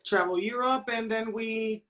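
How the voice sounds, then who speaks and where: A woman's voice: fairly high pitch, normal speed, a bit bright. A woman, explaining things conversationally as a podcast guest and checking in with "right?". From San Juan, Puerto Rico. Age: late 40s. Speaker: podcast guest, crypto educator. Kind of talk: casual conversational interview.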